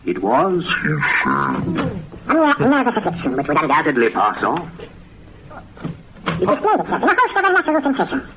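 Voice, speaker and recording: Swooping Gothic narrator, muffled tape. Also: male voice, high voice, wildly swooping pitch, unhurried, pauses often, full character voice. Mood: ominous. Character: gloomy Gothic horror narrator, mimicking an old film star. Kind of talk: dramatic Gothic literary reading. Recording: muffled, noisy tape playback.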